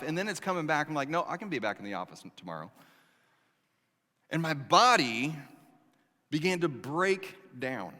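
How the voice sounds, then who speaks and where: A man in his thirties preaching a sermon as pastor, telling a personal story in an animated, conversational way with acted asides. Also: fairly high pitch, big-room sound. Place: church sanctuary.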